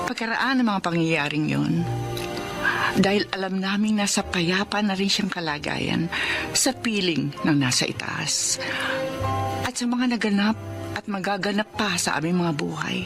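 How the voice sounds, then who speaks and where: A calm, reflective woman closing her life story in a radio drama. She has a mid-pitched voice, and music plays beneath her. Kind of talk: scripted drama narration.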